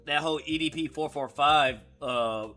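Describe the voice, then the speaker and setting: A hoarse man hosting a casual live-stream chat, telling stories in an excitable, slangy way. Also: on the high side, normal speed, faint music behind. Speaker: streamer host.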